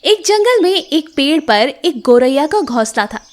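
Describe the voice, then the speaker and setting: High-voiced woman narrating children's stories. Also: very animated, a little slow. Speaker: storyteller for a children's story channel. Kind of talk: scripted children's story narration.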